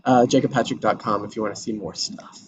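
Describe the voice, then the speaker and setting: A man's voice: lowish voice, steady tone, quick pace. A man hosting an online table read, chatting casually. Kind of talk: casual chat. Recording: dull lo-fi call audio, faint noise.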